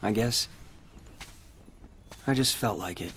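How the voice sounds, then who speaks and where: A man's voice: on the low side, slow pace, a bit bright, a little hiss or hum. A man playing a guarded, brooding young loner in a video game, reserved and understated. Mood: guarded, quiet. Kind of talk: video game cutscene acting. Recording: music behind.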